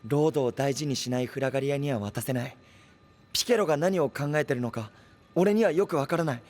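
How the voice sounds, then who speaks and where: A man's voice: medium pitch, a little fast, a little mellow, lightly acted. A man playing an earnest, simple-minded young hero in an audio drama, speaking in a blunt, naive, boyish way. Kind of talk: anime-style audio drama acting.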